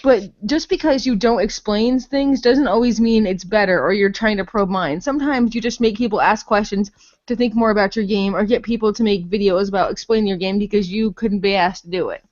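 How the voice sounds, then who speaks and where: A woman's voice: medium pitch, a little fast, a little mellow, dull audio. A woman chatting casually and bluntly as a podcast reviewer, rambling, with plenty of "like" and some swearing. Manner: rambling, heavy use of "like"; blunt, profane. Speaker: podcast host reviewing games. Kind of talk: casual unscripted game review chat.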